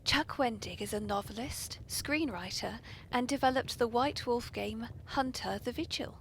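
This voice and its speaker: A British woman with a breathy voice, hosting a whimsical podcast in a genteel, playfully polite manner. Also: fairly high pitch, normal speed, a little hiss or hum, low-quality recording. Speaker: podcast host.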